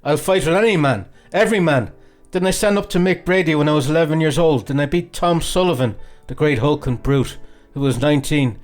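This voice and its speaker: A man's voice: on the high side, brisk, soft background music, pitched above the performer's own voice, lightly acted. A man voicing a boastful, jealous fifteen-year-old boy in an audiobook, indignant and blustering. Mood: furious, boasting. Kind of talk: audiobook character acting.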